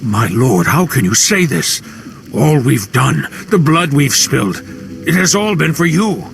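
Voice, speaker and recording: A man's voice: fairly high pitch, very animated, measured pace, vocal fry, a bit bright, soft background music, exaggerated voice. A man voicing a fanatical, violent slave cult leader in a video game, with reverent, grandiose declamation. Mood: hurt, pleading. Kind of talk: dramatic video game acting.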